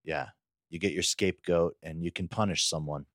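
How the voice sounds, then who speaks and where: Male co-host with a very low voice, relaxed podcast conversation, very dry close sound. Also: normal speed, dry recording. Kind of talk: casual conversational discussion.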